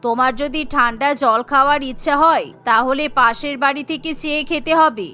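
Lady doing cartoon mother-in-law, older, stubborn, pitched down, explains flatly, music behind. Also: normal speed. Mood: matter-of-fact.

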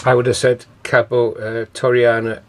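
A man's voice: mid-range voice, flat delivery, moderate pace. A man narrating a casual video diary from his sailboat, hesitant and rambling, with many ums and uhs, recorded on a handheld camera. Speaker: solo sailor filming his voyage. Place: on a sailboat at sea and in harbour. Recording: noisy outdoor camera audio with wind. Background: wind and water noise.

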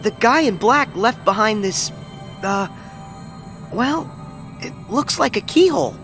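A man's voice: high voice, animated, measured pace, a bit bright, full character voice. A man playing a plucky, earnest teenage boy hero in a video game. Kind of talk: scripted video game acting. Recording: clear music behind.

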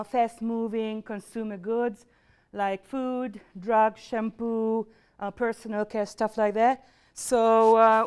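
A middle-aged Hungarian-accented woman gives a lecture presentation in a university lecture room. Her voice sits a little high and sounds smooth and clear. She explains steadily and fills her pauses with frequent ums and uhs. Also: a little mellow. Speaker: market research presenter.

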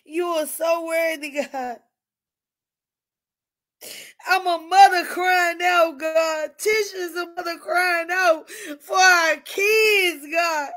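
A woman's voice: very high voice, fairly even intonation, takes it slowly, a bit bright. Tearful woman crying out in prayer. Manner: impassioned, repeating "God" after each phrase, often crying out. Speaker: mother leading a prayer. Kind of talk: fervent spoken prayer.

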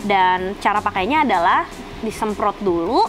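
A woman's voice: mid-range voice, expressive, normal speed, a little hiss or hum, poor audio. Chatty young woman with Jakarta slang. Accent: Jakarta Indonesian. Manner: chatty, slangy, frequent "eh" fillers. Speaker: car channel presenter. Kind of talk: casual how-to presenting to camera. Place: outdoors by a car in a residential driveway. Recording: music playing behind the voice.

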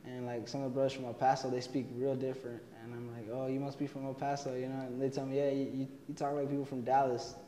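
A young college man from Texas chats through his answers, full of fillers and restarts. His voice is warm and mellow. The room rings with a long, echoey reverb. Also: medium pitch, quick pace, hardly any pauses. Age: young adult. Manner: relaxed, many fillers and restarts. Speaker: college student interviewee. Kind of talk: casual interview answers. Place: indoor room, plain wall.

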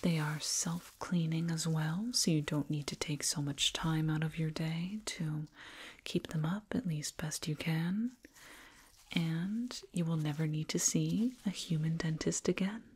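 A woman playing a soothing, proud alien dentist in an ASMR roleplay, whispering gently and repetitively up close. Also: deep voice, a little slow, full character voice. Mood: proud, pleased. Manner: gentle, repetitive, reassuring murmur. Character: soothing, proud alien dentist with eerie delight in sharp teeth. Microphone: binaural mic, up close. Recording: close binaural mic, clear noise.

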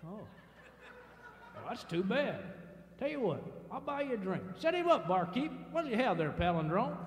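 An actor playing an eager, generous saloon patron with an exuberant manner, in a radio comedy. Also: male voice, high-pitched, sing-song, moderate pace, echoey room, long reverb tail, a little hiss or hum. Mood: jolly. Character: jolly, high-voiced male saloon patron, generous.

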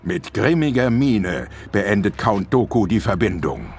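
Low-voiced male narrator over music. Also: very animated, measured pace, vocal fry, a little mellow. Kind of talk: dramatic scripted audio drama narration. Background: orchestral music.

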